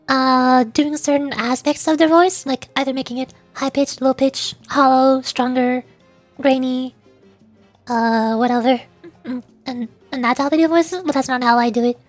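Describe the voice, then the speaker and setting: Woman, high pitch, casual livestream chat, halting and giggly delivery, faint background music. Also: no room sound. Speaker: streamer, VTuber.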